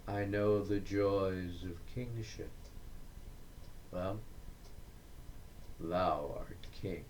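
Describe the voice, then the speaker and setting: A man giving a dramatic recitation of Victorian verse, deliberate and declamatory, breaking the lines into short phrases with pauses. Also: low-pitched, fairly even intonation, darkish tone, slight background noise. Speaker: reader of poetry.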